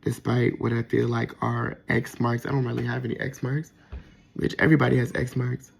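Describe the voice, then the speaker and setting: A man with a soft, gentle voice that carries a gravelly, creaky texture and a husky, slightly breathy edge. He muses out loud in a casual, personal monologue, stopping and restarting as he gathers his thoughts. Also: medium pitch, little pitch movement, a little fast. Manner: reflective, with frequent fillers and restarts. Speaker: vlogger.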